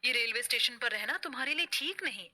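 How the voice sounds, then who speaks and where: Woman as kind, high-voiced city lady. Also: animated, fast talker, sharp tone. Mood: kindly. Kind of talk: Hindi cartoon story dub. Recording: music bed under the voice.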